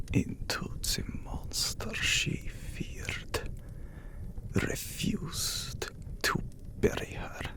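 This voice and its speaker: Man acting a slow, whispering vampire count. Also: fairly high pitch, heavily acted. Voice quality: breathy whisper. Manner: slow, solemn, archaic formal phrasing. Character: handsome immortal vampire count, brooding, menacing lord of castle. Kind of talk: ASMR roleplay audio drama.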